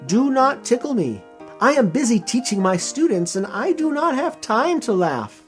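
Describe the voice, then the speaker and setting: A busy grown-up in a children's storybook, played by a man reading aloud. The delivery is brusque and insistent, with a swinging sing-song pitch over music. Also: sing-song, moderate pace. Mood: annoyed. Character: busy grown-ups scolding a pesky tickle bug.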